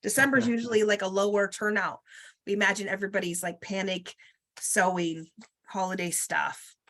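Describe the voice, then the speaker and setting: A woman's voice: medium pitch, steady tone, normal speed, a bit bright. A woman with a slight cold hosting a guild meeting over video call, rambling through announcements with many ums and uhs.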